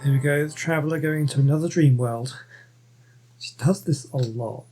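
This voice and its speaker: A man's voice: a little high, moderate pace, warm tone, soft background music, poor audio. A man giving casual let's-play commentary, rambling and thinking aloud as he goes. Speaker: gaming streamer.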